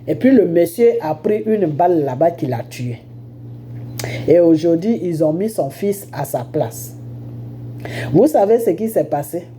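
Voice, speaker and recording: A woman's voice: on the low side, animated, unhurried, dark tone, a little hiss or hum. A woman with an Ivorian accent and a head cold, giving an informal political monologue as a storyteller who punctuates it with rhetorical questions and check-ins. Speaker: online political commentator.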